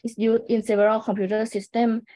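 Mellow young woman reading a presentation. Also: fairly high pitch, little pitch movement, brisk. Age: young adult. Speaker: student presenter. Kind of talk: scripted class presentation read aloud.

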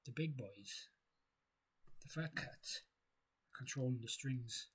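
A man with a husky voice giving a rambling philosophical monologue, halting and repeating himself as he thinks aloud. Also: mid-range voice. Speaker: video creator.

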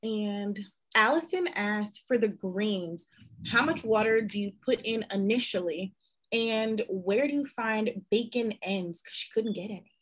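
A woman in her thirties reads viewer questions aloud over a webcam, keeping a friendly, measured pace. Her voice has a soft breathy edge and sits at a middle pitch. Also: rough recording. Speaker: live event host. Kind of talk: reading audience questions. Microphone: video call, webcam.

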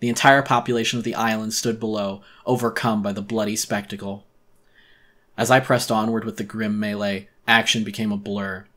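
A man narrating a horror story as a dramatic audiobook reading, intense, theatrical and deliberate. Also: lowish voice, little pitch movement, a bit bright.

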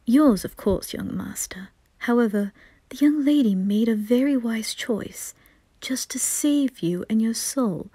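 Woman as a hushed, breathy demon butler. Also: a little high, animated, a little slow, no echo. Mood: calm, reasoning. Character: composed, deferential adult male demon butler. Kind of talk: anime roleplay ASMR.

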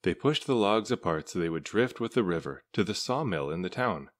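A man with a low voice narrates a children's storybook. He reads aloud in measured, deliberate phrases. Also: lively intonation. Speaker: storybook reader.

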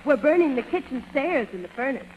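A woman's voice: sits high, animated, brisk, in a large hall, muffled recording. A woman playing a brash, suspicious housewife in a 1930s radio comedy, on an old recording. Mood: brash, matter-of-fact. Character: brash, suspicious, plain-spoken tenement housewife.

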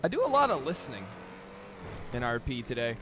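Lively, profane male game streamer. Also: mid-range voice, fairly quick. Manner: profane, teasing, talkative. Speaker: streamer, role-play gamer. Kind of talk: casual in-game banter. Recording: game car and street noise.

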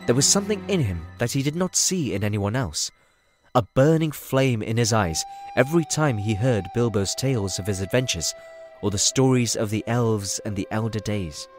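A man's voice: on the low side, expressive, normal speed, soft background music. A man narrating a scripted fantasy story as a storybook narrator.

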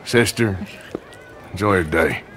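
An actor playing a gruff, wry, world-weary American outlaw gunslinger in a video game, with a dry, laconic manner. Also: male voice, deep voice, a little slow, fairly bright tone, slight background noise. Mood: wry, polite. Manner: dry, laconic, self-deprecating. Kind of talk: cinematic video game acting.

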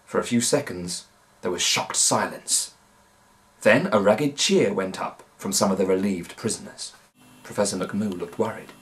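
A man's voice: on the low side, normal speed, rough recording. A man, narrating a children's story expressively and dramatically. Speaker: author reading aloud. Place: small home room, indoors.

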